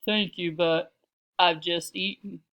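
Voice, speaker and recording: A woman voicing a dignified female mastiff in a storybook, deep, refined and measured. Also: fairly even intonation, fairly bright tone. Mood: polite. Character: cultured, dignified female mastiff lady dog.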